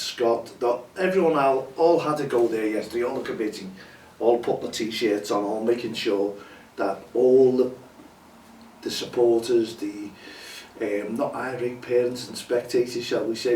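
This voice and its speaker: A hoarse man hosts a casual chat show, rambling and chatty with lots of fillers. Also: mid-range voice, a little mellow. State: hoarse, losing his voice. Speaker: show host. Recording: roomy echo, distant from mic, faint ambience.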